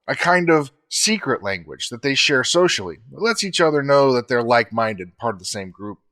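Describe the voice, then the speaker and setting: A man co-hosting a podcast, explaining facts in a measured, scripted way. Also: medium pitch, big pitch swings, sharp tone.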